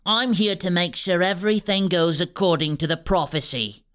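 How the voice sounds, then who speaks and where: A man's voice: high voice, moderate pace, fairly bright tone, smooth. A man voicing a smug, scheming boy villain who commands squirrels, speaking in a cool, menacing tone. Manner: cool, menacing, self-assured.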